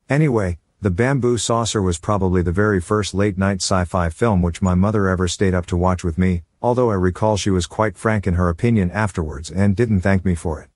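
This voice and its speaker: Low-voiced man reading an email aloud. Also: a little mellow, dry recording, studio quality. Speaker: reader of listener feedback.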